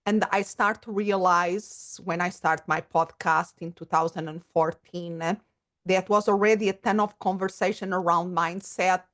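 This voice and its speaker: Portuguese-accented woman, middle-aged, lowish voice, thinks out loud with lots of ums. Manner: thoughtful, searching for words with many fillers. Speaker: guest psychologist and coach. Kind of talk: casual interview conversation.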